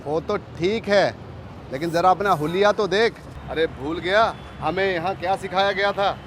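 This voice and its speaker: An actor playing a concerned, baffled petrol pump co-worker in a training film, scolding and questioning in a chummy, familiar way. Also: male voice, sits high, measured pace, a bit bright, a little hiss or hum. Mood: exasperated, chiding. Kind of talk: training film dialogue acting.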